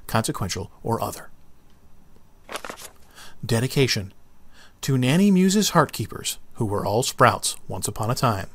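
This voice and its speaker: A man narrating a children's book as an expressive read-aloud. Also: medium pitch, no echo. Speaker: storybook narrator.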